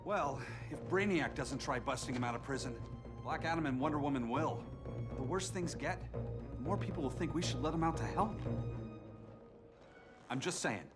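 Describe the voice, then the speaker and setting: An actor playing a frank, restless superhero teammate in a video game cutscene. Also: male voice, a little high, moderate pace, pauses often, natural voice. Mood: frank, insistent. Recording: loud music over the voice. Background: loud game score.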